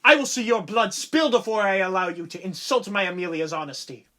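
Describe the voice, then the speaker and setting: Honor-bound, passionate lieutenant male actor, high register, intense, formal audio-drama delivery. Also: fairly quick, fairly bright tone. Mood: defiant. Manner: formal, intense, archaic diction. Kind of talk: stage-play audio drama acting.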